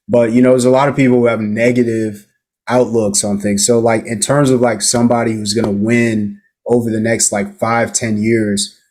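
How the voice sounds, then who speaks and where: A man's voice: lowish voice, darkish tone. A man hosting a casual interview, asking questions hesitantly and searching for words with many fillers. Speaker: interview host.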